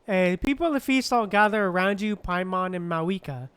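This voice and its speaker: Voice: mid-range voice, expressive, a little fast, fairly bright tone, smooth. A game streamer chatting casually and reacting in slang, with game music behind. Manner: slangy, laughs readily. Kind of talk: casual chat, reactions and reading aloud.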